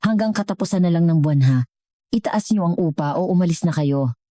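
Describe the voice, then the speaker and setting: A woman's voice: lowish voice, fairly quick, warm tone, no room sound, studio quality, voice pushed up, lightly acted. Woman voicing a cold, demanding landlord.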